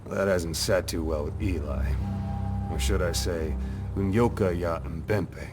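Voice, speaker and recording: An actor playing a composed military officer in a video game cutscene, measured and wry as he explains. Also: sits low, music in the background.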